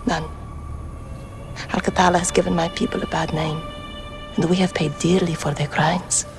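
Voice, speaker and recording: An actress playing a grave, principled young rebel commander in a game, with a Middle Eastern accent and measured, firm delivery. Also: female voice, on the low side, little pitch movement, over music, lightly acted. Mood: grave, resolute. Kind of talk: cinematic game acting.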